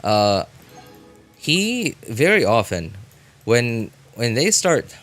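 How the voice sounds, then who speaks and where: A man giving casual live game commentary as a streamer, rambling and halting, often breaking off mid-thought to react. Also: mid-range voice, sing-song, a bit bright, faint music behind.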